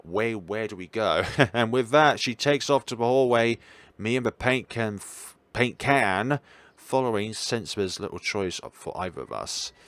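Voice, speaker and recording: A man's voice: mid-range voice, unhurried, a bit bright, dry recording. A man reading a visual novel aloud as a let's player, giving dramatic scripted narration with occasional stumbles and repeated words.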